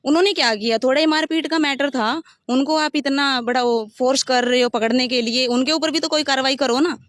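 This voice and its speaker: A woman's voice: high voice, brisk, no room sound. A woman giving a detailed, step-by-step personal account in an interview. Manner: rambling, detailed step-by-step recounting. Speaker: interviewee recounting her complaint.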